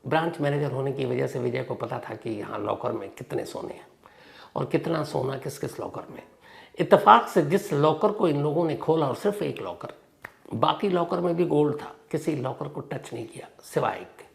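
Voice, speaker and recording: Gravelly man telling a crime story. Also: expressive. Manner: dramatic pauses and emphatic stresses, sentences trailing off low. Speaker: host of a true-crime storytelling show. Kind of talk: narrative crime storytelling, conversational.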